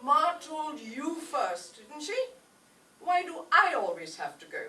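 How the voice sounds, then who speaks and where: A woman's voice: sits high, very animated, slow, bright, forceful tone, heavily acted. A woman playing a whiny, reluctant young son, a boy scout, in an old-time radio comedy. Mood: whiny, defiant. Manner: whiny, complaining. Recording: echoey stage, distant mic, faint noise.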